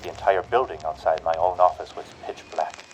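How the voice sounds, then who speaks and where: An actor playing a cruel, taunting narrator of an old-time radio horror play, slow and mocking. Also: male voice, deep voice, slight background noise, lightly acted, poor audio. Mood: dread.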